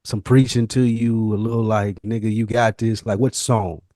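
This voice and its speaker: A man's voice: fairly low pitch, little pitch movement, normal speed, mellow, no echo, clean studio sound. A man co-hosting a casual podcast, talking in a slangy, conversational way. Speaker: podcast co-host.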